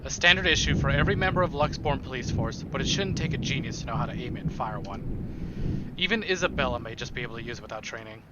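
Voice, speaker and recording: A man's voice: mid-range voice, expressive, fast talker, lo-fi sound, heavy background noise. A man streaming a game, reading narration aloud expressively and dropping in casual, playful asides.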